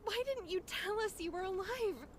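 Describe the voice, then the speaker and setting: A woman playing a gentle, earnest, caring young healer with a polite, soft-hearted manner in a video game. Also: very high voice, lively intonation, normal speed, slight background noise. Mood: worried. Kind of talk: anime-style game dub acting.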